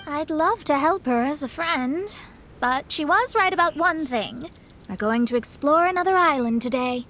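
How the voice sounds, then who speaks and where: Casting: a sensible young adventurer, high voice, calm and reasoning, faint hiss behind. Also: female voice, moderate pace. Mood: earnest. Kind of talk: video game voice acting.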